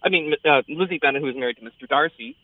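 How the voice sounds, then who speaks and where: A woman's voice: low voice, very fast, no room sound. A woman giving a chatty, enthusiastic, conversational radio review as a theater critic. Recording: thin phone-like sound, dry.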